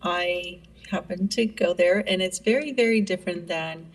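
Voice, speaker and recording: A woman's voice: lowish voice, moderate pace, recorded from a distance. A middle-aged woman, a neurologist answering interview questions in a friendly way with many fillers. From Greece. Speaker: neurologist guest.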